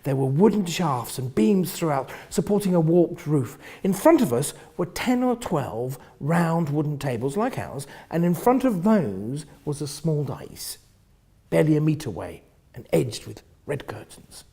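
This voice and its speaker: A man narrates a novel in a husky, fairly high voice. His delivery is theatrical and expressive, with wide swings in pitch and phrases that sink into a hush. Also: unhurried. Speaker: reader of a novel. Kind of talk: dramatic first-person literary narration.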